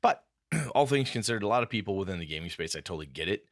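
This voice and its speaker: A man co-hosting a gaming podcast in casual chat, telling animated stories and acting out what others said. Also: lowish voice, rapid-fire, creaky, no room sound, clean studio sound. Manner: animated storyteller acting out quotes. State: a bit groggy. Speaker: podcast co-host.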